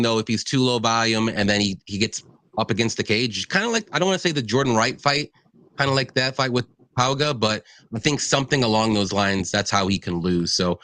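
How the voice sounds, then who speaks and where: A low-pitched man hosts a relaxed betting stream. He talks in an easygoing way, full of fillers. The sound is dry and close, with no room behind him. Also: no echo. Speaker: host of betting live stream. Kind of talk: casual betting chat, reading comments.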